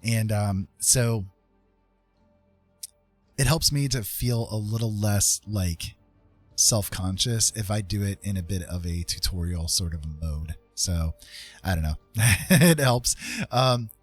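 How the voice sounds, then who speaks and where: Low, husky man rambling over faint music. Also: takes it slowly, no echo. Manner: hesitant, self-effacing, thinking aloud while drawing. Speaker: streamer and digital artist. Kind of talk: casual live stream chat with tutorial asides.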